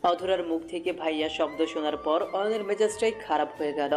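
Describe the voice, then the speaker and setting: A woman with a fairly low voice narrates over light background music. Her delivery is scripted storytelling for a romantic audio tale. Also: fairly even intonation, brisk, darkish tone. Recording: music bed behind voice.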